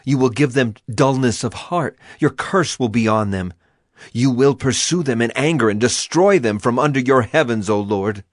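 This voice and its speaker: A man playing an afflicted biblical sufferer who laments yet clings to hope, in a solemn, impassioned, deliberate dramatized scripture reading. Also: mid-range voice, dry recording, studio quality, natural voice. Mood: vengeful appeal. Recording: music under the voice.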